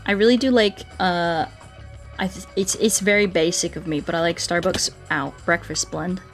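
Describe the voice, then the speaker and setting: A woman doing a casual gaming livestream, rambling playfully and thinking out loud as she chats with viewers. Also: lowish voice, fairly even intonation, moderate pace, soft background music. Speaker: streamer playing Minecraft and chatting with viewers.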